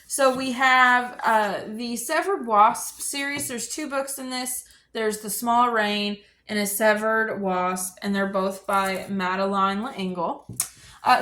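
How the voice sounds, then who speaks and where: A woman's voice: fairly high pitch, fairly bright tone. A woman hosting a book video, talking casually and conversationally, with informal asides as she goes. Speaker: book review video host. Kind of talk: casual chatty book discussion.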